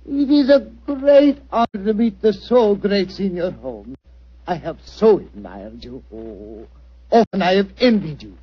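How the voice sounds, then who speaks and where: An actor playing a flowery, excitable Italian musical director with broken English and effusive manner, in old radio drama style. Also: male voice, high voice, a little slow, breathy edge, exaggerated voice. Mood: gushing. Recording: muffled old radio recording.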